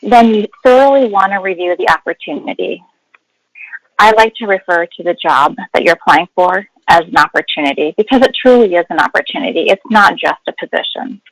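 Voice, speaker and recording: A woman's voice: on the low side, animated, fairly quick, no echo, poor audio. A woman speaking as a guest HR expert, explaining in an instructive webinar style with emphasis on key words.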